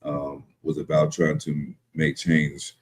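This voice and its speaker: A man's voice: extremely low pitch, monotone, slow, darkish tone, breathy edge. A man in his thirties co-hosting a podcast, talking casually and reflectively in a hesitant way. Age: 30s. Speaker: podcast co-host.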